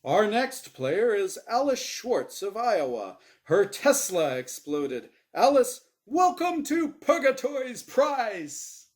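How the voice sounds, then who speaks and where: Man putting on a loud, high-pitched game show host. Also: sing-song, heavily acted. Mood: showy. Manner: showy, exclamatory announcer delivery with drawn-out words. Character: gleeful, booming game show host from the afterlife. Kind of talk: game show hosting in a story reading.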